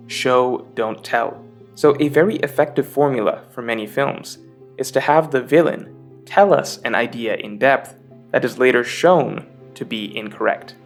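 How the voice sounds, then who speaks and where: A man narrates a scripted video essay in an articulate, explanatory manner. His voice sits at an ordinary middle pitch, and music plays softly behind him. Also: creaky.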